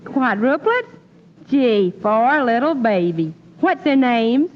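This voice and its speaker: An actress playing a gullible, excitable, folksy woman in old-time radio comedy, full of exclamations. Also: a little high, takes it slowly. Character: scatterbrained, excitable comic lady, folksy and gullible. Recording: noisy, muffled old radio recording.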